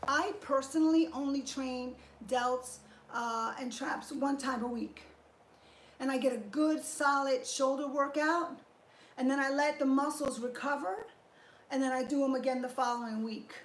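High-voiced woman in an echoey garage. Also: a little slow, slight background noise. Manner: upbeat, thanks viewers warmly, explains step by step. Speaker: fitness livestream host. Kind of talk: casual livestream chat answering viewers. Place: garage home gym, indoors. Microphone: fixed camera at a few feet.

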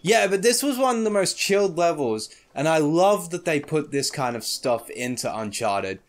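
A man commentating a game he plays, excitable and casual, his voice slightly croaky in the morning. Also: fairly high pitch, normal speed. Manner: excitable, swears, shrieks at small things. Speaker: gaming YouTuber. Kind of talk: casual let's play commentary.

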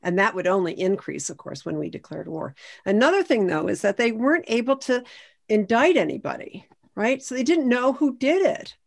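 A woman's voice: a little high, animated, normal speed, creaky. Emphatic woman explaining history. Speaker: historian panelist. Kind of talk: explanatory panel discussion. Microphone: video call.

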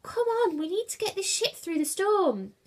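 Casting: exasperated male animal hero played by a woman, raised pitch, snappy, commanding, storybook adventure. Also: expressive, moderate pace, heavily acted. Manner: urgent, snapping, shouting orders. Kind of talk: children's storybook character voice.

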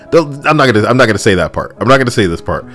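A man's voice: mid-range voice, animated, fast, gravelly, soft background music. A man giving casual, blunt and sarcastic opinion commentary as a gaming video host, with an edgy streak. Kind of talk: casual opinion commentary over game footage.

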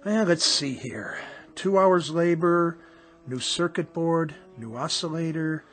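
A man's voice: fairly high pitch, takes it slowly, soft background music. An actor playing a folksy, easygoing working-class TV repairman in a radio drama.

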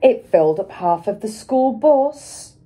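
A middle-aged woman reads a children's picture book aloud like a teacher, with dramatic emphasis and pauses for young listeners. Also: fairly high pitch, expressive, warm tone. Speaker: schoolteacher reading aloud.

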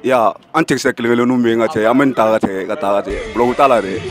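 A man's voice: medium pitch, steady tone, fast talker, over music. A young man giving casual answers as a street interview guest outdoors. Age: young adult. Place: outdoors by a wall. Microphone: small mic held toward him by interviewer.

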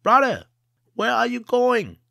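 A man playing a sly, high-voiced trickster. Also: sing-song, unhurried, a bit bright, breathy edge, no echo, clean studio sound, exaggerated voice. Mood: wheedling. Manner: wheedling, boastful. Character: sly young male trickster, boastful.

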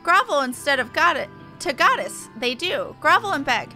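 A zealous bird-man played by a woman declaims in a high, bright voice. The delivery is earnest and proud, with odd inverted phrasing, like a quest giver in a fantasy game. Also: big pitch swings, normal speed, faint music behind, exaggerated voice. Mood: solemn, proud. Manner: inverted word order, declamatory, squawking.

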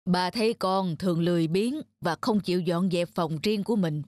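A woman voicing a calm lecturing cartoon dad. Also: lowish voice, no echo, lightly acted. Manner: measured, reasoning, fatherly. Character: calm middle-aged father, gently lecturing. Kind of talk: cartoon dub acting. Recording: studio voice-over with background music.

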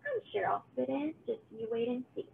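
A woman's voice: sits high, very animated, brisk, gravelly, phone-quality sound, a little hiss or hum, full character voice. A woman voicing a friendly, eager little boy pumpkin in a children's storybook, sweet and upbeat. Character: cheerful, friendly little male pumpkin who loves fun.

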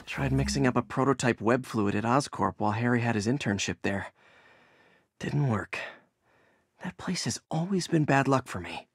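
A man's voice: mid-range voice, animated, moderate pace, vocal fry, slightly rough. An actor playing an earnest, boyish young American man, a slightly awkward hero, in a video game. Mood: casual. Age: young adult. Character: earnest, awkward young male superhero in everyday life.

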